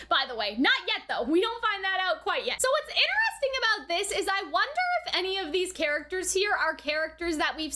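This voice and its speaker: A woman's voice: very high-pitched, bright, forceful tone. A woman giving casual, chatty review commentary as a reaction host, often checking in with informal tag questions. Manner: chatty, informal, often tags sentences with "right?" and "you know".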